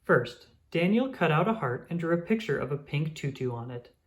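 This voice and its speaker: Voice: medium pitch, expressive, normal speed. A storybook reader narrating expressively for young children. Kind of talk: expressive scripted read-aloud narration.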